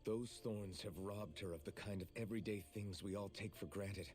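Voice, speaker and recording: An actor playing an earnest, protective young hero in an anime video game, plain-spoken and sincere. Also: male voice, fairly low pitch, moderate pace, a little mellow, faint music behind, close to an everyday voice. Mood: sombre, resolute.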